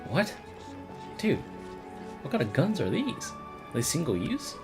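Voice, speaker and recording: A man's voice: fairly high pitch, huge pitch swings, unhurried, music in the background. A man giving casual, profane and sardonic live commentary as he plays through a video game and reads bits aloud. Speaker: game streamer.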